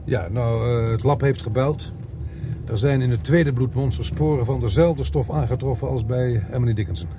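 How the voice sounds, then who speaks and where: A man's voice: medium pitch, moderate pace, background noise, close to an everyday voice. An actor playing a weary, sceptical policeman in a Dutch radio play, dry and grumbling, with a Netherlands Dutch accent. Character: weary, sceptical policeman narrating his own case.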